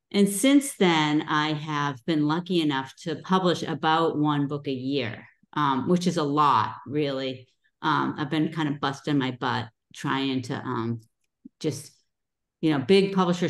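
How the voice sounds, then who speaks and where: A middle-aged woman with a notably low voice talks through her background in a loose, chatty way, dotting her sentences with ums. She is speaking over a video call. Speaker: guest author. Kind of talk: casual video call talk.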